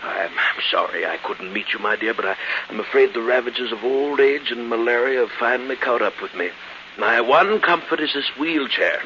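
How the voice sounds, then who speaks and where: A man acting a fussy, eccentric old uncle in a 1940s radio drama. He gushes and stammers, doubling up his words in an ordinary male register. The recording is muffled, with clear hiss. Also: medium pitch, normal speed, sharp tone, natural voice. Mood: chatty, fussy. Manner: effusive, stammering, repeats words, chuckling. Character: effusive elderly invalid uncle, fussy, eccentric collector.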